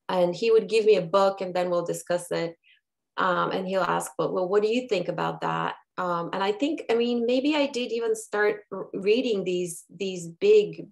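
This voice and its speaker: Woman in her 50s from bulgaria, on a video call, thinks out loud, lots of restarts, mid pitch. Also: gravelly. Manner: thoughtful, halting with many restarts. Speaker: guest, brand strategist. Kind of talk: conversational interview.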